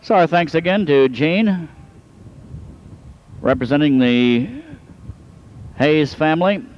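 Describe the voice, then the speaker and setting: A man announcing a harness race, introducing the field and then calling the running in a rapid rhythmic style that builds toward the finish. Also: fairly high pitch, expressive, lo-fi sound.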